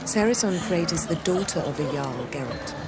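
Low-voiced, imperious noblewoman. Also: expressive, normal speed, a loud crowd, heavy background noise. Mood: arch. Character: poised, imperious adult woman with cutting wit. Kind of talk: video game dialogue acting.

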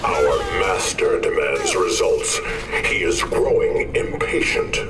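A cold, demanding villain enforcer for a video game. His very deep, slow voice sounds distant and echoing. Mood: impatient, threatening. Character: stern, threatening enforcer for a dark master.

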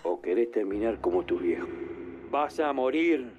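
Man acting menacing thug, radio drama, mid-pitched voice, threatening delivery. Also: expressive, moderate pace, lo-fi sound, lightly acted. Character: menacing thug making threatening phone calls.

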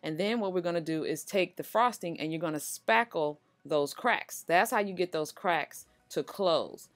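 Low-voiced woman narrating a baking tutorial. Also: moderate pace, faint music behind. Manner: chatty, reassuring, speaks to viewers as "you guys". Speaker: cooking channel host. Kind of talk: relaxed voice-over baking tutorial.

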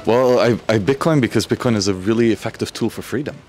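A man's voice: mid-range voice, quick pace, music in the background. A man giving a confident, persuasive answer in a conference interview.

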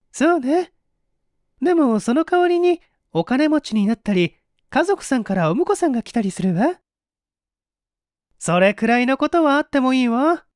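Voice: medium pitch, a little mellow, no echo, studio quality, pitched above the performer's own voice. A narrator voicing gossipy young village girls, coy and chatty, with old-fashioned feminine speech in an audiobook. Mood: chatty, admiring.

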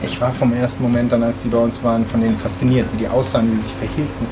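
A German man in his forties talking casually while cooking in his home kitchen, relaxed with many hesitations. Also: mid-range voice, racing. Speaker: interviewed chef. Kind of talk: casual cooking demo and interview. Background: background music.

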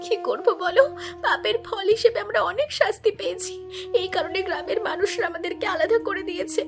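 A woman's voice: very high voice, brisk, vocal fry. A woman voicing a guilt-ridden, repentant village wife in a cartoon, with a plaintive, dramatic delivery. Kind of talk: Bengali cartoon voice acting. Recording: music behind the voice.

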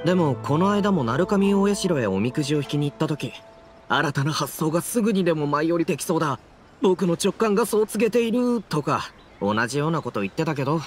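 A man's voice: fairly high pitch, expressive, brisk, close to an everyday voice. A man playing a weary, sceptical, reproving book editor in an anime game. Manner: exasperated, reproving, articulate. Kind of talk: Japanese anime game voice acting. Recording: background music under the voice.

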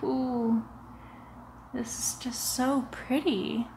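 ASMR roleplay casting: young woman as sinister female vampire doctor, highish voice, extremely slow, soothing, hushed and slightly muffled. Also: fairly even intonation, slight background noise, heavily acted. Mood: eerily delighted. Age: young adult. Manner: slow, hushed, often whispering, soothing, deliberate. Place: living room, lying on a sofa.

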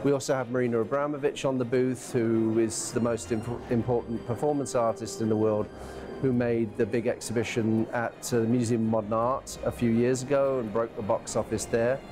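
A man's voice: medium pitch, flat delivery, brisk, over music. A man in his fifties, a gallery owner, talking enthusiastically in an interview at an art fair. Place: art fair hall, indoors.

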